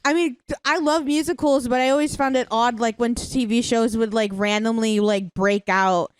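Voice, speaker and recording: A woman's voice: on the high side, steady tone, moderate pace, clean tone, dry recording, poor audio. A young woman co-hosting a podcast, chatting casually in an informal, exclamatory, agreeable way. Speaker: podcast co-host. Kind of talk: casual review chat.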